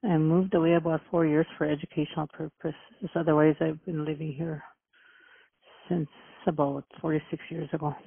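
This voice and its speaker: Middle-aged woman from manitoba talking on the phone, gravelly and husky, stops and restarts a lot. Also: low-pitched, flat delivery. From Manitoba, Canada. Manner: earnest, halting, with restarts and "um"s. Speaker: interviewee. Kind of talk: phone interview answers. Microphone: telephone. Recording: tinny phone line.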